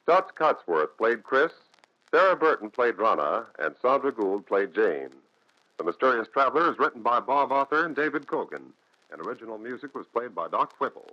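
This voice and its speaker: A man announcing from a script in 1940s radio style. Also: mid-range voice. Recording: muffled 1940s radio recording.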